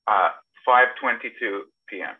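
Phone-like, lowish-voiced man on a call. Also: a little slow, husky. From born in Serbia, grew up partly in Toronto, Canada. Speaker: screenwriter, podcast guest. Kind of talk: casual anecdotal interview answers. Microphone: video call. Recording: thin, phone-like internet call audio.

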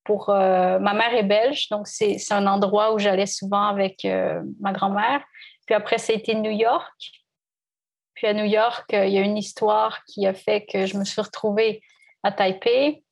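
A woman's voice: mid-range voice, level tone, moderate pace, vocal fry. A woman violinist from Canada, in her thirties, talking reflectively in an interview, hesitant with many restarts. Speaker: violinist guest. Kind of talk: conversational interview.

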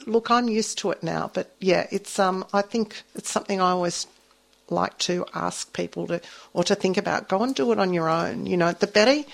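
A woman's voice: mid-range voice, normal speed, vocal fry, poor audio. A woman in her sixties hosting a radio interview, encouraging and reflective as she asks questions. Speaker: radio host.

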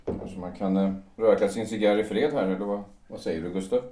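Man acting a low-voiced, wry returned traveller. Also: dark tone, slight background noise, lightly acted. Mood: wry, ironic. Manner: rambling, ironic, hesitant. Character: wry, world-weary man home from abroad, slightly tipsy. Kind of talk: audio drama acting of a period stage play. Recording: roomy, distant microphone.

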